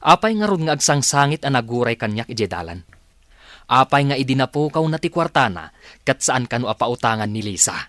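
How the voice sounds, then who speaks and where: Protective father, medium-pitched, lively swooping intonation, concerned questions, emphatic radio drama delivery. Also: a little fast, no room sound, clean studio sound. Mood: incredulous, indignant.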